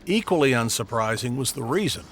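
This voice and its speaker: A man playing a dry, deadpan Hollywood historian commenting in a documentary interview. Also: medium pitch, brisk, a little hiss or hum. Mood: dry recollection.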